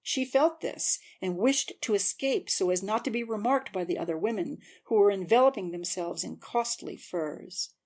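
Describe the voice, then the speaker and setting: A woman's voice: medium pitch, moderate pace, few pauses, a little mellow. A woman narrator giving a scripted, dramatic audiobook reading.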